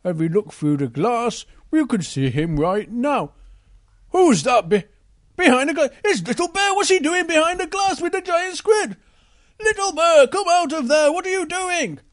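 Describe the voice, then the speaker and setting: A woman's voice: on the low side, animated, a little slow, few pauses, darkish tone, no room sound, clean studio sound. A woman voicing a big, protective grown-up male bear in an improvised children's story, fussy and full of warnings. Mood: alarmed.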